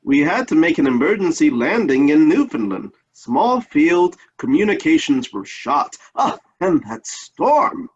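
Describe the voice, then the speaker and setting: An actor playing an affectionate father in an old-time radio drama, with a husky voice. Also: on the high side, sing-song, unhurried, few pauses, muffled recording, exaggerated voice. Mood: weary relief. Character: affectionate father, home late on Christmas Eve.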